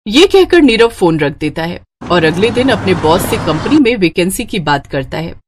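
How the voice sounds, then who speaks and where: A woman's voice: lowish voice, very animated, moderate pace, slight background noise. A woman narrator reading a scripted moral story.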